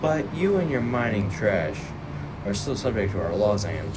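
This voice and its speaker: Man playing an officious, low-voiced robot cop. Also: big pitch swings, normal speed. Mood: stern, officious. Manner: officious, rule-quoting. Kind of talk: dramatic comic dub acting. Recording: very noisy, loud background hum.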